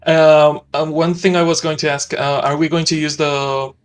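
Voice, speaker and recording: Easygoing man, slightly high voice. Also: level tone, moderate pace, soft background music. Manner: easygoing, hesitant, with fillers. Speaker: streamer guest. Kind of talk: casual livestream chat.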